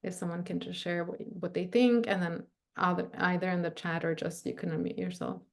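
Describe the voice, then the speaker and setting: Woman, low pitch, gravelly, chatty presenter, video call. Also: fairly even intonation, a little fast. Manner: casual, frequent "like" and "right?" fillers. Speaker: workshop presenter. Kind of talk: teaching a video-call workshop.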